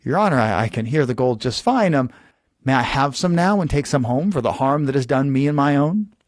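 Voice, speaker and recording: Man as greedy male baker, storybook, eager, halting stammer, dry recording. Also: medium pitch, expressive, no room sound, lightly acted. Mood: puzzled pleading. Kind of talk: storybook character voice.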